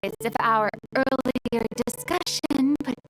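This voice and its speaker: A woman's voice: sits high, slow, loud music behind the voice, poor audio. A woman voicing a poised, courteous young woman in a video game, with polite, formal phrasing and a considerate manner. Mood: apologetic.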